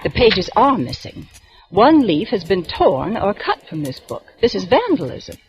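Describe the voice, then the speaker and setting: An actress playing a prim young woman librarian who sticks to the rules, with crisp, precise diction, in 1940s radio acting style. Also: mid-range voice, sing-song, a little slow, over music. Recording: old radio broadcast with faint hiss.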